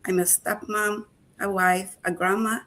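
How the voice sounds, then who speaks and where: An older woman from the Philippines, a great-grandmother, giving a slow, measured prepared talk with some hesitations. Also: medium pitch, little pitch movement, bright, forceful tone. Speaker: panel speaker.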